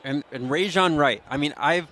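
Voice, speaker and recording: A man with a fairly high voice provides color commentary on a live football broadcast. He talks conversationally, explaining what happened and why. He readily adds his own opinions on the play calls. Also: normal speed, bright, forceful tone. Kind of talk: live sports analysis.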